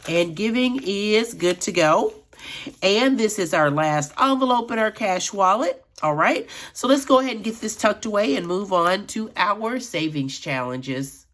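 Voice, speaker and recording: A middle-aged woman vlogging about her budget in a chatty, friendly way, a little raspy from allergies. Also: medium pitch, animated, unhurried, a bit bright. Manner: chatty, addresses viewers as friends. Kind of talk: casual chat while counting cash.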